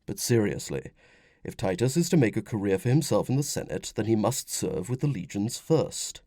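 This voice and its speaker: A man voicing a Roman commander and father in an audiobook, gruff, affectionate and authoritative. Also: fairly low pitch, sing-song, moderate pace, dark tone, dry recording, clean studio sound. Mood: gentle reasoning. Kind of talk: audiobook character dialogue.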